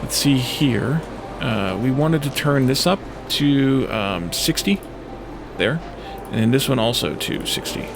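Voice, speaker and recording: A man's voice: mid-range voice, expressive, slow, vocal fry, noisy background. A man giving casual video game commentary as a let's play host, thinking aloud and musing to himself in short fragments. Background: game music.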